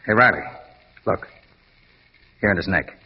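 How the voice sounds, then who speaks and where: An actor playing a brisk, confident American private detective in clipped old-time radio drama style. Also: male voice, on the low side, slightly rough. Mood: thoughtful. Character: brisk, self-assured male private detective. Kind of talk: 1940s radio detective acting. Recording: dull, noisy old radio recording.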